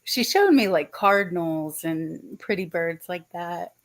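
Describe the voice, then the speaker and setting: A woman from Virginia with a faint Southern accent, giving a gentle, tentative psychic reading in casual livestream chat. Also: lowish voice, animated, unhurried. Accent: Southern US, subtle. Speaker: psychic medium host.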